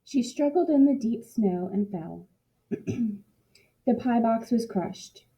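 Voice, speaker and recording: A woman narrating a picture book for children in an expressive read-aloud style, like a teacher in class. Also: on the high side, takes it slowly, poor audio.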